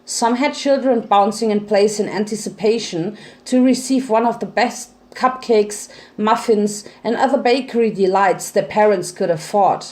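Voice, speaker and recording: A woman's voice: medium pitch, moderate pace, hardly any pauses, darkish tone. A woman reading fan fiction aloud as a dramatic storyteller. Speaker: narrator.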